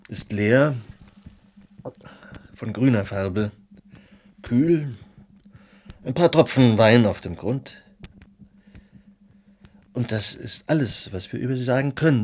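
An actor playing a tipsy, impassioned Romantic artist in a radio drama, declaiming bitter philosophy with halting dramatic pauses. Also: male voice, lowish voice, husky. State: drunk. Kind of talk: German radio drama acting.

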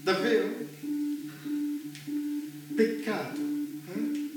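A man's voice: high-pitched, expressive, extremely slow, exaggerated voice. An actor playing a mocking, provocative man in power in a stage drama, taunting and playfully questioning. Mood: amused, mocking. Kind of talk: theatrical stage dialogue. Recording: echoey distant stage, loud music behind.

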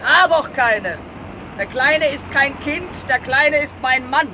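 Gruff bossy lady whaling captain, really high voice, pompous and poetic, radio play, noisy ship sounds behind. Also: lively intonation, slow. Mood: solemn, sentimental. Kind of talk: satirical radio play acting.

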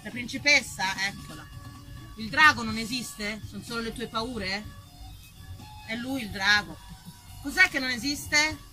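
A woman actress, performing an ironic, lively storytelling monologue and talking directly to her audience. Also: a little high, a little slow, pauses often, soft background music, rough recording.

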